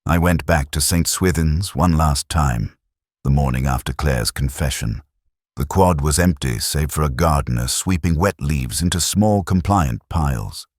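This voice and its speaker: A man playing a reflective, melancholy middle-aged detective, narrating his case in measured, solemn literary prose. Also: a bass voice, dry recording, clean studio sound. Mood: brooding, reflective. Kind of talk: literary first-person scripted narration.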